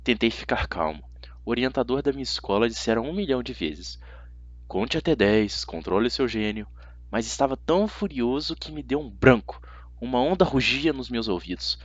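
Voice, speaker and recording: A man with a Brazilian accent narrating a first-person story as an amateur audiobook reader, dramatic and expressive. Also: medium pitch, brisk, husky, dry recording. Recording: slightly dull, dry audio.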